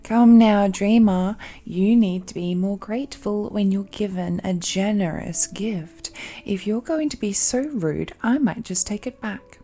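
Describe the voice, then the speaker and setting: A woman playing an ancient, all-powerful, possessive villainess who speaks condescendingly and coaxingly in a romantic audio roleplay, often dropping to a breathy whisper. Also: mid-range voice, measured pace, mellow, natural voice. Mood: grandly doting, then haughty, teasing. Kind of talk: romantic audio roleplay, intimate monologue to listener.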